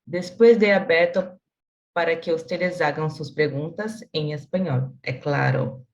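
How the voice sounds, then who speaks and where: A woman with as her moderates a video call in careful, slightly halting learner's Spanish. Her voice sits somewhat low, and the call audio is dull and lo-fi. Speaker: student moderator. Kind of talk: reading announcements on a video call.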